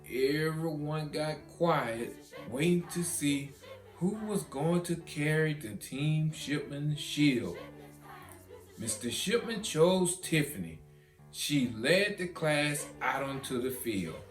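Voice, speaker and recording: A man reading a children's storybook as narrator, animated and with dramatic pauses. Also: a little high. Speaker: author reading own children's book. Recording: roomy echo, guitar music behind.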